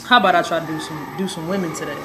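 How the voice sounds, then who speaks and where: A young woman with a raspy, hoarse voice telling a personal story in a relaxed, rambling way with many fillers. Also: low voice, racing, noisy background. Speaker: vlogger. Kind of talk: casual personal storytelling to camera.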